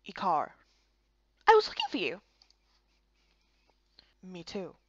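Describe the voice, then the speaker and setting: A woman voicing a calm, reserved young male warrior in a fantasy game, subdued and terse. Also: on the low side, slow pace, no echo, muffled recording, voice pushed down, full character voice.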